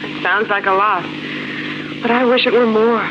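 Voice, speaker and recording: An actress playing a young newlywed wife, gentle and a little uneasy, in a 1950s radio drama. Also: female voice, mid-range voice, steady tone, normal speed, natural voice. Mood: wondering, uneasy. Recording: muffled, noisy 1950s radio recording.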